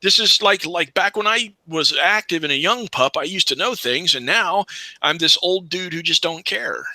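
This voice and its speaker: A man in his late forties with a very bright, forceful voice and a slightly higher pitch talks bluntly and swears freely. It is a casual group chat, picked up dry and close. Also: expressive, normal speed, no room sound. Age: late 40s. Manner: blunt, profane.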